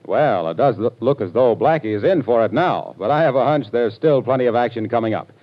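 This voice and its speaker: A man's voice: medium pitch, lively intonation, brisk, soft background music. A man announcing in a genial, upbeat old-time radio style. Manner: genial, bright salesman's patter. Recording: dull old radio broadcast.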